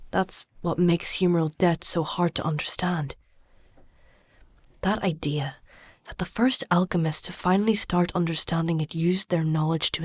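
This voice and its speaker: A woman playing a learned, stubborn alchemist-healer in a dark fantasy audio drama, measured and dryly sardonic as she explains. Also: sits low, no echo, studio quality.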